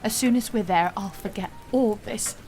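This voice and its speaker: A woman's voice: medium pitch, normal speed, darkish tone, soft background music, lightly acted. A woman playing a bitter, impatient, lovelorn young wife and mother in an audio drama, scornful and snappish. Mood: angry, defiant. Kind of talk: naturalistic audio drama acting.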